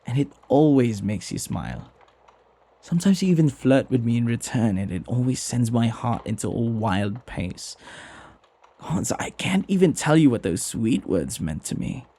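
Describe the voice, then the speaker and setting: A man playing a devoted, flirty butler in an intimate ASMR roleplay, tender and hesitant, often sinking into a breathy whisper. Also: medium pitch, very animated, normal speed, mellow, exaggerated voice. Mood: earnest, flirtatious. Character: flirty lovestruck male butler, devoted and deferential.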